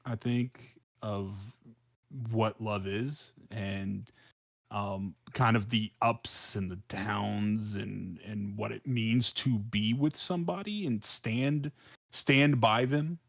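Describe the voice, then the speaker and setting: A man's voice: fairly low pitch, creaky, dry recording. An American man chatting casually on a podcast, hesitant and repeating phrases as he searches for his words. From South Central Los Angeles, United States. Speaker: podcast co-host.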